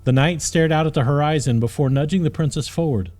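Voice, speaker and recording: A man reading a fantasy audiobook as narrator, in an expressive, scripted storytelling style. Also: a little high, quick pace.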